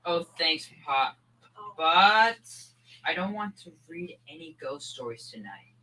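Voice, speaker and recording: A student as sharp-voiced earnest young boy. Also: low-pitched, lively intonation, takes it slowly, exaggerated voice, poor audio. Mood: earnest, uneasy. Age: young teen. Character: earnest, gullible thirteen-year-old boy, wary of ghosts. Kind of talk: school performance of old-time radio comedy.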